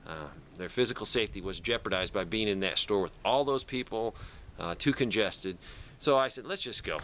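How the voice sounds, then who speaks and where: Bright, forceful man chatting casually. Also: fairly low pitch, a little fast. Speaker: prepper video host. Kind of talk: casual product talk to camera.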